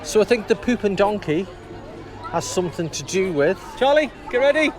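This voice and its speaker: A man with a fairly high voice gives casual, rambling vlog commentary as he walks around outdoors. He muses aloud, and music plays clearly behind him. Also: very animated, moderate pace, a crowd in the background. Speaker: vlogger presenting a theme park walk. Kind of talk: casual walk-and-talk commentary. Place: outdoors in a theme park.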